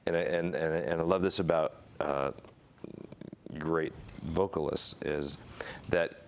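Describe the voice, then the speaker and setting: A man hosting a casual interview chat, asking halting questions with many restarts and affirming his guest. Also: rumbling low, steady tone, slight background noise. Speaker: host. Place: studio set made to look like a porch.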